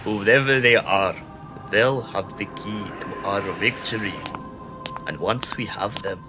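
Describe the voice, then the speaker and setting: A man's voice: lowish voice, takes it slowly, over music. A man acting a merciless German wartime general in a fan audio drama, commanding and impatient. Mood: cold menace. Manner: menacing, commanding, clipped impatience.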